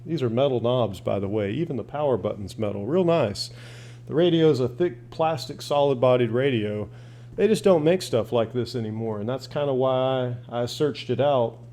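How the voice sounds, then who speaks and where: A man's voice: mid-range voice, normal speed, warm tone. A man chatting casually and unscripted as a radio hobbyist showing his gear, rambling in a folksy, plain-spoken way. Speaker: shortwave radio hobbyist asking viewers for advice. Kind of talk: casual unscripted demonstration and chat.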